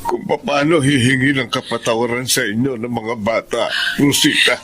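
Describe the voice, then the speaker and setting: A man's voice: medium pitch, fairly even intonation, moderate pace, a bit bright, faint music behind, close to an everyday voice. An actor playing a stubborn husband and father racked with regret in a Filipino radio drama, with a Filipino accent. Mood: anguished, regretful.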